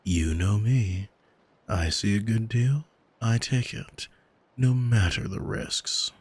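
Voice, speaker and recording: A man playing an arrogant demon in an ASMR audio drama, smug and teasing, speaking deliberately and intimately to the listener with a husky voice, close on the microphone. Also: lowish voice, darkish tone, dry recording. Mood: smug, commanding. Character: arrogant male demon who owns a soul, smug, taunting. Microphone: close studio microphone, dry.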